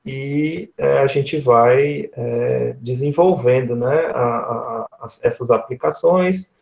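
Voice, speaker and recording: Gravelly Northeastern Brazilian man, explaining. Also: medium pitch, takes it slowly. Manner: explanatory, frequent tag questions seeking agreement. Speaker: guest speaker, lecturer. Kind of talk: conversational online talk. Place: small indoor room, video call. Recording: dull, lo-fi video call audio.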